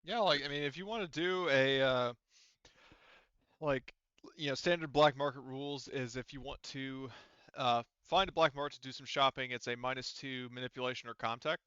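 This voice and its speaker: A man's voice: medium pitch, moderate pace, fairly bright tone, smooth, dry recording, lo-fi sound. A man casually running a tabletop game as game master, explaining things in a rambling way.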